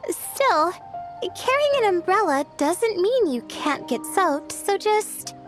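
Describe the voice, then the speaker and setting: A woman voicing a shy, soft-spoken young female scholar who stammers and hesitates. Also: very high-pitched, full character voice. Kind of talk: game voice acting. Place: studio.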